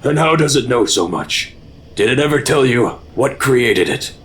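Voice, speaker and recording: An actor playing a stern, skeptical senior military commander, formal and authoritative, in an audio drama. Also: male voice, mid-range voice, expressive, moderate pace, fairly bright tone, slight background noise, lightly acted.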